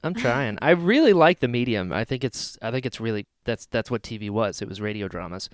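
A man's voice: mid-range voice, animated, brisk, dry recording, studio quality. A man co-hosting a podcast in casual banter, joking and emphatic, often repeating his phrases. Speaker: podcast co-host.

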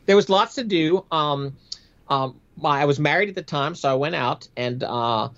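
A man's voice: on the high side, normal speed, a bit bright, highs cut off. A middle-aged American man, a former military aviator, telling his experiences conversationally as an interview guest in an emphatic, matter-of-fact way. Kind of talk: conversational storytelling.

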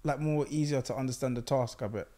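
Young man, mid-pitched, halting chatty delivery, podcast co-host. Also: mellow. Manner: hesitant, lots of ums. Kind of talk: casual chat.

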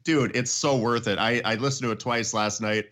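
A man's voice: medium pitch, fairly even intonation, moderate pace, background noise. A middle-aged man chatting casually about music in an enthusiastic, emphatic way.

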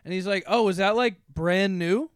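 A man's voice: sits high, normal speed, smooth, no room sound, clean studio sound. A man in his twenties co-hosting a podcast, animatedly telling stories and acting out quotes. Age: 20s. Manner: animated storyteller, acts out quotes, laughs while telling. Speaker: podcast co-host. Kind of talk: casual chat.

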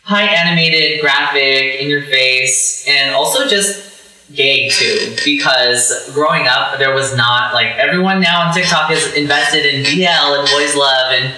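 A young man with a very bright, edgy voice tells personal stories in a candid, animated way, full of fillers. He sits back from the mic in a strongly echoing room. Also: on the high side, measured pace, recorded from a distance. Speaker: podcast guest, drag performer. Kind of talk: casual podcast conversation. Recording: echoey room, away from the mic.

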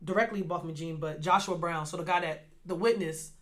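An American woman co-hosting a podcast, chatting casually with animated, slangy, opinionated talk. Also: on the low side, fairly quick. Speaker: podcast co-host.

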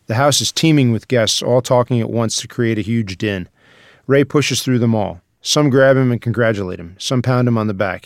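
A man's voice: medium pitch, dry recording, clean studio sound. A man reading stage directions as scripted narration for a screenplay.

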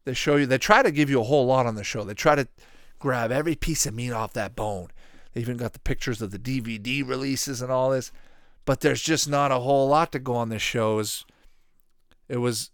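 A man's voice: mid-range voice, no room sound, studio quality. A man in his thirties hosting a podcast, talking casually and informally in a rambling, repetitive way. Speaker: podcast host. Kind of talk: casual solo commentary on retro cartoons.

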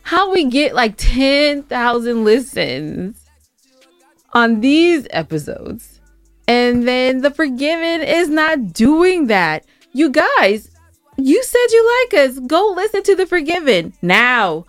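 A woman's voice: high-pitched, sing-song, slow, no echo. A woman co-hosting a podcast, chatting casually and informally, with music playing behind her. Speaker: podcast co-host.

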